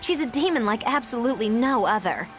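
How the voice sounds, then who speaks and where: Uma atriz interpretando uma bruxa excêntrica e teatral, de tom zombeteiro. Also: female voice, a little high, animated, brisk, soft background music, lightly acted. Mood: teasing. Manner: theatrical, mocking. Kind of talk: game dub acting.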